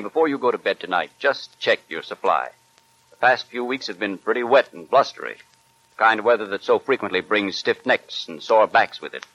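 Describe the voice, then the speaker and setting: A man's voice: mid-range voice, fairly bright tone, no room sound, muffled recording. A man reading a scripted advertisement as an announcer in 1940s radio style.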